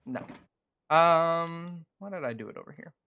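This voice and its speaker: A young adult man streaming a game, chatting casually and earnestly in a rambling, halting way as he thinks out loud. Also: fairly high pitch, animated, clean tone, phone-quality sound. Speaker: gaming streamer and video creator. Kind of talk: casual chat over live gameplay.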